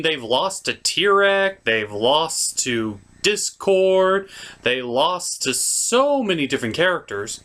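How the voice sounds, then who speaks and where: A man narrates a comic movie recap. He is casual and sarcastic, with lively, wide-ranging intonation as he plays reviewer. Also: sits high.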